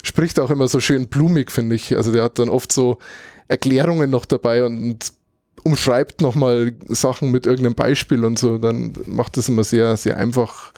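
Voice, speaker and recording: A man's voice: medium pitch, steady tone, moderate pace, warm tone, no room sound, studio quality. A man from Germany chatting casually as a podcast co-host, thinking aloud and often searching for words as he goes. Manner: thoughtful, rambling, searches for words mid-sentence. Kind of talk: casual conversational recap.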